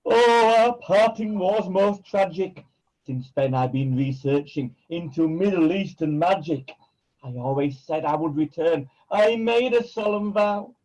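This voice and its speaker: An actor playing a scheming pantomime villain who poses as a kindly uncle, speaking in theatrical, wheedling rhyme. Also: male voice, high-pitched, animated, a little slow, darkish tone, low-quality recording. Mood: oily, effusive. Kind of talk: rhyming pantomime acting.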